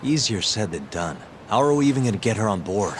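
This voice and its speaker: An earnest young private detective in a video game cutscene. His fairly low voice is dry and casual, with an exasperated edge. Clear noise sits behind him. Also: big pitch swings, quick pace.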